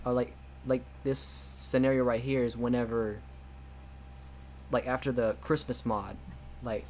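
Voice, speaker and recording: A young man streaming a game, chatting casually and rambling with many restarts, quick to laugh. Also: medium pitch, darkish tone, a little hiss or hum. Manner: laughs easily. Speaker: streamer playing a game mod.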